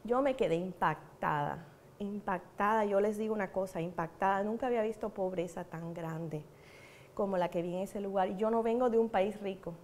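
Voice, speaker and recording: A woman's voice: mid-range voice, normal speed, darkish tone, a little hiss or hum. A woman with a Cuban accent giving a church teaching, telling personal testimonies earnestly and repeating words for emphasis. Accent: Cuban Spanish. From Cuba. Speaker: preacher.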